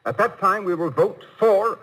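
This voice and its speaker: A man's voice: high-pitched, normal speed, a bit bright, low-quality recording. An actor playing a courteous but exasperated college board chairman in a 1950s radio comedy, speaking in formal, precise phrases. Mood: measured, formal. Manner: formal, orderly, precise speech. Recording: vintage 1950 radio broadcast.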